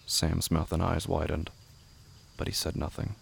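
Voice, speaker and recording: Deep, gravelly male storyteller. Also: monotone, moderate pace, slight background noise. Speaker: narrator. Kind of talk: scripted literary fiction narration.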